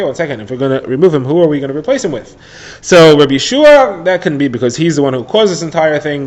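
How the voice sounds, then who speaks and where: A man with a somewhat high voice teaches the daily Talmud page. He retells the text as a plain story and mixes Hebrew and Aramaic terms into his English. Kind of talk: daily Talmud lesson for listeners.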